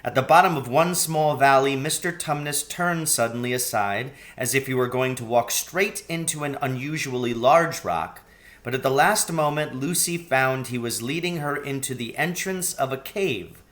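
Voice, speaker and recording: A man's voice: mid-range voice, normal speed, fairly bright tone. A man reading a children's story aloud as scripted narration. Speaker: storybook reader.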